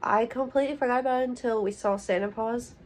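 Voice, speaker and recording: A young woman in college chatting casually to her phone camera in a relaxed vlog, upbeat and full of fillers. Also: fairly high pitch, fast talker, slight background noise. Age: young adult. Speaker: college student vlogger. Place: dorm room and outdoors. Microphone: phone held at arm's length.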